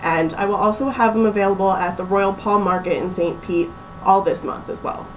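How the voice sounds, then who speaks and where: A woman's voice: medium pitch, fairly quick, recorded from a distance, a little hiss or hum, rough recording. Young woman, a bit off-mic. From Pennsylvania, USA. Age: young adult. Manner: relaxed, chatty, laughs easily, many ums. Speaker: guest, small business owner. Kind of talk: casual interview.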